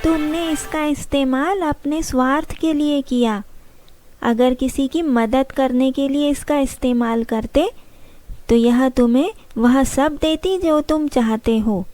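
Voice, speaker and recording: A woman playing a stern, righteous fairy in a children's cartoon, speaking in a measured, admonishing way. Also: high-pitched, dark tone, no room sound. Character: stern, righteous female fairy scolding a thief. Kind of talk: Hindi children's cartoon dialogue. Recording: clear music behind the voice.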